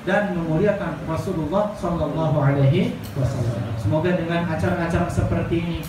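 Young man, Islamic teacher, earnest, high-pitched, repeats key phrases, heard from far off. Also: brisk. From Indonesia. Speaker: Islamic boarding school teacher. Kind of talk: religious talk to a gathering. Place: crowded indoor room, seated gathering. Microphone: handheld microphone through speakers, filmed from across room. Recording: echoey noisy room, far from mic.

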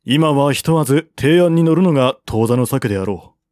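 A man voicing a shrewd, calm military strategist of ancient China in an audiobook, formal and reasoned. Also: mid-range voice, fairly quick, no room sound, studio quality, natural voice. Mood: sober reasoning.

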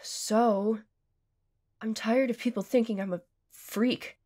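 Woman as a high-voiced, self-conscious teenage girl. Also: steady tone, slow, mellow. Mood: exasperated, defensive. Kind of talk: audio drama acting and first-person narration.

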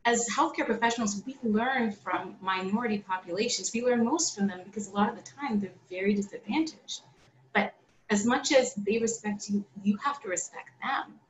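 A woman's voice: medium pitch, moderate pace, dull audio. A woman optometrist talking on a panel over a video call, conversational and often adding "you know". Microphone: video call webcam. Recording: echoey room with faint background hiss.

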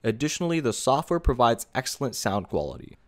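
A man with a mid-pitched voice narrates a scripted software review. He addresses viewers directly in a casual, conversational way, like a video creator walking through a list of options. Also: big pitch swings, fairly quick.

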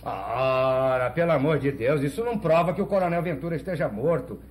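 A man's voice: a little high, brisk, close to an everyday voice. An actor playing a skeptical, impatient man in a 1970s telenovela drama. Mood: exasperated. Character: skeptical, impatient man questioning a rumor of death.

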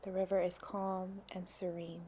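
A woman leading a guided meditation in a soft, soothing way, sometimes dropping to near whispers. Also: on the low side, level tone, moderate pace, a little hiss or hum.

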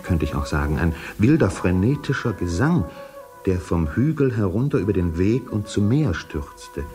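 A man giving a measured, solemn dramatic reading of literary prose for a radio feature. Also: low-pitched. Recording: flute music under the voice.

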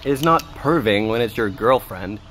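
A man's voice: on the low side, animated, moderate pace, a bit bright. A man with an American accent playing a brash, foul-mouthed, hot-headed teenage boy in an audio drama. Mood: mocking. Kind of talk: naturalistic audio drama dialogue. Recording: noisy outdoor ambience behind.

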